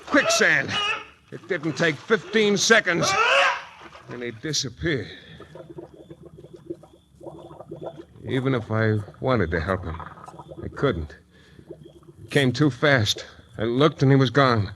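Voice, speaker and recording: An actor playing a tough, wry private detective, narrating and talking in clipped, intense 1940s radio style. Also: male voice, medium pitch, big pitch swings, unhurried, noisy background. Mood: urgent, grim. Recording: 1940s radio broadcast recording.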